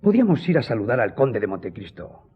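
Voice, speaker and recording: An actor playing a loyal, reassuring gentleman editor in a radio drama, speaking earnestly and formally with a husky, dark-toned voice. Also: medium pitch, big pitch swings, fast talker, phone-quality sound. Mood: consoling, tactful. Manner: earnest, consoling, formal address.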